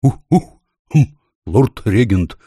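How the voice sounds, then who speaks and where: A man's voice: medium pitch, animated, slow, warm tone, slightly rough, no echo, studio quality, pitched above the performer's own voice. A man voicing a fussy, earnest female talking owl in a storybook, given to hooting. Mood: muttering, respectful.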